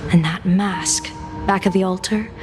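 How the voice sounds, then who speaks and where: A woman voicing a composed female general in a game, measured, formal and coolly authoritative. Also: mid-range voice, fairly even intonation, long reverb tail, music in the background, close to an everyday voice. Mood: cool, probing. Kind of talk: scripted game voice acting.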